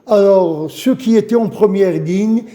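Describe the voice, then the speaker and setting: An older man from Algeria giving a halting, emotional eyewitness testimony as a guest on a studio news program, repeating phrases as he recalls events. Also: high voice, dark tone. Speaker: witness guest. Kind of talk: spoken testimony in a studio interview.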